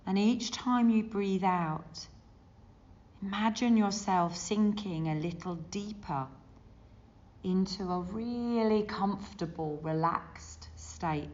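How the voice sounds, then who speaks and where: A woman leading a guided meditation as a teacher, gentle and soothing, with unhurried phrases and long pauses. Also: medium pitch. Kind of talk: guided body scan meditation. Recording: dull, muffled lo-fi sound.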